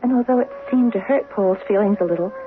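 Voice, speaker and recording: A woman's voice: mid-range voice, fast, close to an everyday voice. An actress playing an anxious, trusting young wife in her late twenties in a 1970s radio drama, in dialogue and first-person narration. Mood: relieved, grateful. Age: late 20s. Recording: muffled narrow-band vintage radio, faint hiss.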